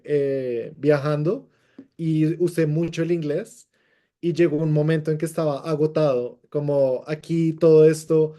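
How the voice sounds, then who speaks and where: A man hosting a casual podcast chat in his native Spanish, asking calm, measured questions. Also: fairly high pitch. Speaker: host.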